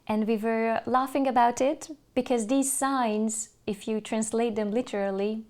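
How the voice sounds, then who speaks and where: A Hungarian woman speaking English as a podcast guest, telling stories thoughtfully with many pauses and restarts. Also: fairly high pitch, fairly even intonation, darkish tone. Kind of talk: casual interview chat.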